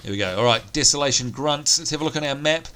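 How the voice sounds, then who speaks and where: A man's voice: medium pitch, fast talker, pushed and bright. A man with a strained, nearly lost voice, chatting upbeat through gameplay commentary as he plays. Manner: chatty, upbeat, thinking aloud. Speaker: let's play host. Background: game sounds and music.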